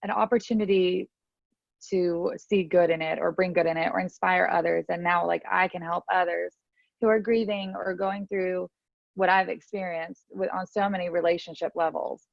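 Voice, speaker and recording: Thirtysomething woman, chatty and candid, lots of vocal fry, dry dull audio. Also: fairly low pitch, fairly even intonation, moderate pace, dry recording. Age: 30s. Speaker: podcast guest. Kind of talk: casual interview storytelling. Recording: dry, slightly muffled audio.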